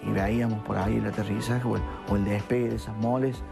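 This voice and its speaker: A man in his sixties with a Córdoba Argentine accent, recalling his childhood in an interview with halting repetitions. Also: lowish voice, flat delivery, gravelly. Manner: halting, repeating words as he recalls. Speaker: interviewee, engineer. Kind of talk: reflective interview. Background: music.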